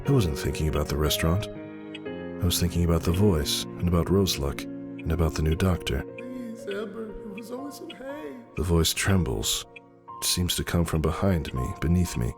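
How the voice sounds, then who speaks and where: A man narrating a first-person story dramatically, in a brooding, measured and theatrical delivery. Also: a bass voice. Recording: music behind the voice. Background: music.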